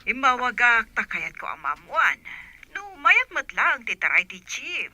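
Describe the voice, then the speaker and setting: A woman's voice: fairly high pitch, huge pitch swings, unhurried, sharp tone, exaggerated voice. A woman playing a bossy, emphatic godmother in a comic radio drama. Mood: businesslike.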